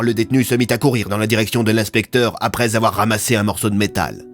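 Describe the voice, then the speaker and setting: Deep-voiced male storyteller with light music. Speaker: author and narrator. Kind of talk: scripted narration of audio fiction.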